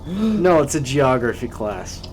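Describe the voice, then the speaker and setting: A teenage boy co-hosting a casual chat, hesitant with dry deadpan quips. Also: mid-range voice, a little slow. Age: teens. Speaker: co-host. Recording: noisy background.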